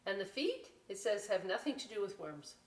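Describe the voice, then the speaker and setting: A woman's voice: mid-range voice, big pitch swings, normal speed, slight background noise. An American woman presenting a children's story time, reading a picture book aloud expressively and chatting through folksy, explanatory asides. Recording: roomy echo, distant microphone.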